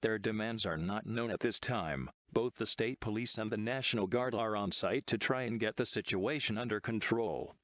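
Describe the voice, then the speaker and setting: A synthetic male text-to-speech voice reading a scripted emergency broadcast announcement in a formal, impersonal, measured manner. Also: on the low side, fairly bright tone, dry recording.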